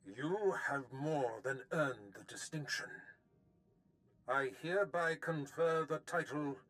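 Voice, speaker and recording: An actor playing a powerful, smugly commanding older Sith master in a video game, speaking formally and with measured pomp. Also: male voice, on the high side, fairly bright tone. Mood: grandly approving. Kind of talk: scripted game dialogue.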